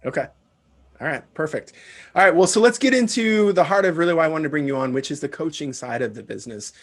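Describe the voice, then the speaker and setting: A man's voice: fairly high pitch, expressive, fast, a bit bright. Male podcast host asking questions. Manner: brief acknowledgements, friendly questions. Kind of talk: interview host.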